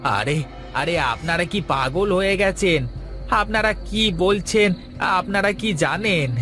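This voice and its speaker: A voice actor playing a poor boatman and protective foster father in an animated moral story, wary and protesting. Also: mid-range voice, slow pace, soft background music.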